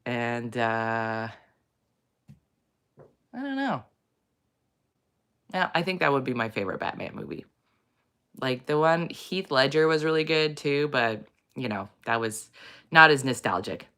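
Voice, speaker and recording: Woman chatting slow in her low morning voice, bright tone, rambly. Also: animated, clear, resonant tone. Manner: chatty, rambling, with many fillers. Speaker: host. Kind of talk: casual chat reading viewer comments.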